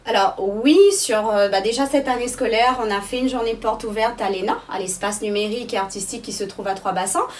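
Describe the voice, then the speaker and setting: A woman's voice: mid-range voice, steady tone, fairly quick, hardly any pauses, distant mic. A woman answering interview questions as the director of an arts school, explaining things phrase by phrase with hesitations.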